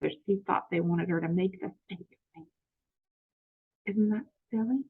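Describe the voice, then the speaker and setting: A woman reading a picture book aloud to children, expressive and explanatory, pausing to ask questions. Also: medium pitch, slightly rough. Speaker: children's story reader. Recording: muffled narrow phone-like audio.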